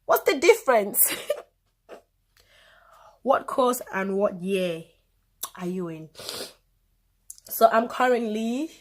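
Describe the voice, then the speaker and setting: A woman's voice: mid-range voice, animated, slow pace, long pauses. A woman in her twenties with a Cameroonian accent chats casually to camera as a vlogger, informal and given to emphatic repetition, recorded on a phone camera. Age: 20s.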